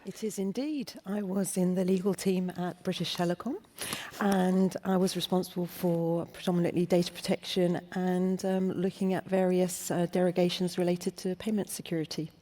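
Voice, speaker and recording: Measured woman speaking on a panel. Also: lowish voice, fairly even intonation, mellow. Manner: measured, with many fillers and restarts. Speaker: panelist, data security director. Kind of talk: conference panel answers. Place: conference stage before an audience. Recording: long hall reverb.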